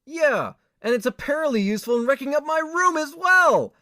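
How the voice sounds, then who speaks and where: A man's voice: extremely high pitch, expressive, fairly quick, sharp tone, no echo, clean studio sound, heavily acted. A man playing an earnest, put-upon young tenant in an amateur audio drama, with a hesitant manner. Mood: exasperated wailing. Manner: hesitant, stammering when flustered.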